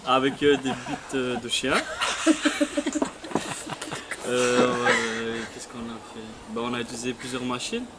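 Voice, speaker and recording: A young student from Belgium answering interview questions in short, halting phrases. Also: male voice, mid-range voice, level tone. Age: young adult. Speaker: student volunteer. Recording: loud outdoor noise.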